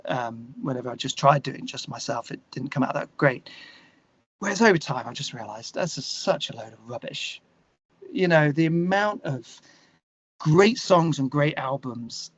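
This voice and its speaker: Slightly high-voiced man, muffled roomy sound. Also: husky. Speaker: interview guest, musician and songwriter. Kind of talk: casual conversational interview.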